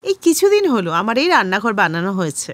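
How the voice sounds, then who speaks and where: A woman's voice: medium pitch, sing-song, fast talker, no room sound, voice pushed down. A woman voicing a rich, chatty, self-assured lady in a cartoon story. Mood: pleased. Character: rich, chatty sister-in-law showing off her home in cartoon.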